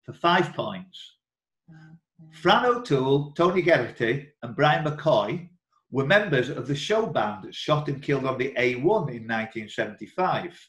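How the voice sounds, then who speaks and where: A man's voice: mid-range voice, big pitch swings, unhurried, fairly bright tone. Male quizmaster, mid-range voice, reading questions over an online call with noticeable room echo. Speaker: quiz host and question reader. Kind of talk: live quiz hosting, reading questions aloud. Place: indoor room, online video group call. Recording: roomy, echoing online call audio.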